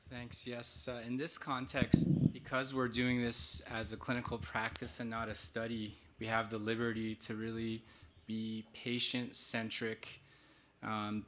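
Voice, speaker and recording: A man answering as a clinician on a conference panel, measured and explanatory, with frequent fillers. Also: medium pitch, fairly bright tone, a little hiss or hum.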